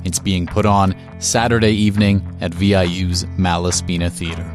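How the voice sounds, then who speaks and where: A man's voice: deep voice, level tone, normal speed, music in the background. A man hosting and asking questions on a radio interview show.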